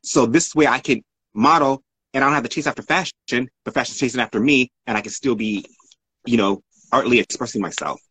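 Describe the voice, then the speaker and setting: A man's voice: mid-range voice, fairly quick, bright, forceful tone, slightly rough, low-quality recording. A man being interviewed as a guest, telling stories casually in a rambling way and often correcting himself. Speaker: interview guest, art model.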